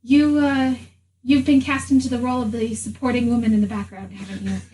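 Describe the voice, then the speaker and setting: A woman's voice: a little high, level tone, fairly quick, dark tone. A woman playing a tabletop game, switching casually between in-character talk and her own. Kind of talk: casual in-character role-play. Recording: distant mic, faint room noise.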